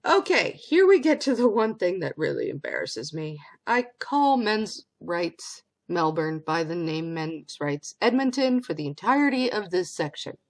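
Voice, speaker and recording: Wry, low-voiced woman. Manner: wry, sardonic. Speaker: YouTube commentator, quoted clip.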